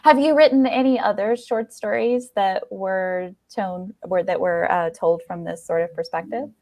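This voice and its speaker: A woman's voice: medium pitch, moderate pace, a little mellow, no room sound. A woman hosting a podcast, chatting casually in an enthusiastic, affirming way as she interviews a guest. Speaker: podcast host.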